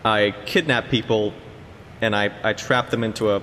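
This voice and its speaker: A man's voice: on the low side, fairly even intonation, moderate pace, pushed and bright. A man playing a nervous, awkward Yale physicist in an improvised comedy skit, with hesitant delivery and a voice that changes register. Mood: awkward, rambling. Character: Chad, nervous awkward male Yale physicist who sleepwalks. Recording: noisy, reverberant room recording.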